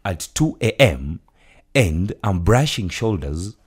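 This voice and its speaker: A low, husky-voiced man talks in a radio studio, his pitch swinging widely. He is animated and keeps restarting his sentences with repeated words. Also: slow pace. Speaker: radio panelist. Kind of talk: casual radio talk.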